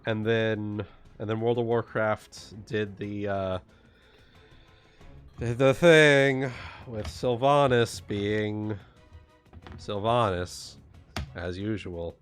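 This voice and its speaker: A man runs an online tabletop game in a casual, unscripted style. His delivery is slow and halting with loose swearing, and faint music plays behind him. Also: on the low side, steady tone, clear, resonant tone. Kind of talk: casual chat and off-the-cuff game recap. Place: online group voice call.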